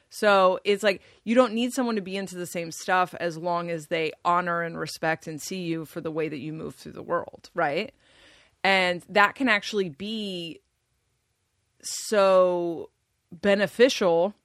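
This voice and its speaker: A woman with a deep voice in casual conversation, thinking aloud with pauses and restarts. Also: fairly bright tone, no room sound, studio quality. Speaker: podcast guest.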